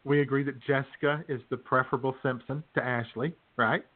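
A male podcast host with a medium-pitched voice, heard through a narrow, phone-like sound. He chats loosely and banters with co-hosts in a jokey, mock-enthusiastic manner. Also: fairly even intonation, fairly quick. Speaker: host of a comedy radio podcast. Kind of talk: casual jokey chat with co-hosts. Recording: tinny phone-like band-limited sound.

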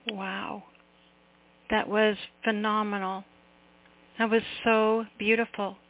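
A woman's voice: mid-range voice, little pitch movement, slow pace. A woman hosting an open mic call-in radio show, encouraging and effusive with callers. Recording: tinny phone line.

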